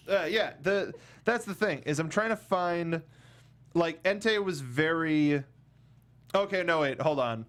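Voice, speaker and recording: A man hosting a casual panel chat, rambling through animated asides in stop-start phrases. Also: fairly high pitch, takes it slowly. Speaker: talk show host.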